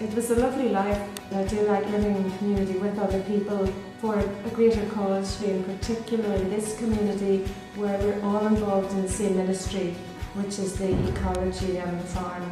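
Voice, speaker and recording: A middle-aged woman with a Belfast accent talks gently and thoughtfully, pausing on ums and restarting phrases. Her pitch stays level and even throughout. The sound is very echoey, with clear music underneath. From Northern Ireland. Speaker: Dominican sister. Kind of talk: reflective personal interview.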